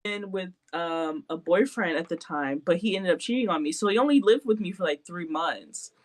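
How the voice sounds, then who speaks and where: A woman's voice: lowish voice, moderate pace. A woman in her late twenties chatting casually as a podcast host, self-deprecating and laughing often. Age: 20s. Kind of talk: casual chat.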